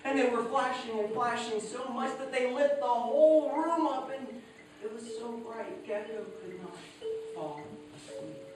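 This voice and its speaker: A man's voice: very high voice, a little slow, over music. A man telling stories live to listeners in an informal, folksy style. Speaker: storyteller and musician. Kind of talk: live folk-tale storytelling, informal introduction. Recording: very echoey, distant microphone.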